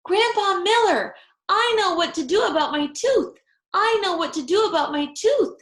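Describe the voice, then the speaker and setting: A woman's voice: very high voice, expressive, moderate pace, fairly bright tone, pitched above the performer's own voice. A woman voicing a chatty, stubborn first-grade girl from a children's storybook, with childish, emphatic delivery. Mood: excited. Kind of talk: children's storybook read-aloud. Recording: echoey room.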